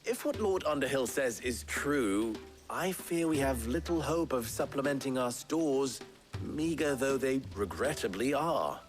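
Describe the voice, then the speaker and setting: An actor playing an eloquent, wry, calculating town schemer in a video game, speaking in florid, measured phrases with dry wit. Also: male voice, medium pitch, lively intonation, faint music behind, natural voice. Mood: grave, persuasive. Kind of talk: video game cutscene acting.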